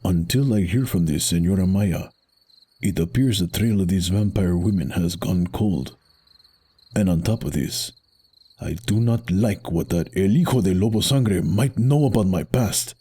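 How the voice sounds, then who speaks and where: Deep, husky, brooding wrestler hero. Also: male voice, mellow. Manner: formal, measured, gravely courteous. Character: brooding, honourable masked luchador hero of Mexico City. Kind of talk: pulp audio drama acting.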